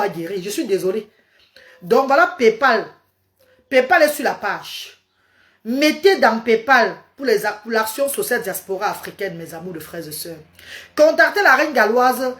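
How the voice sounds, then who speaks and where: Emphatic Cameroonian woman preaching live. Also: fairly high pitch. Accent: Cameroonian French. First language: Medumba. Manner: emphatic, repetitive, exhorting. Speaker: live-stream host. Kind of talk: preachy live-stream testimony.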